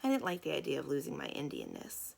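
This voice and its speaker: Low-voiced woman reading aloud, creaky edge. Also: huge pitch swings, fast, a little mellow. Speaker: library storyteller reading a children's novel aloud. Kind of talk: scripted read-aloud narration of a children's novel.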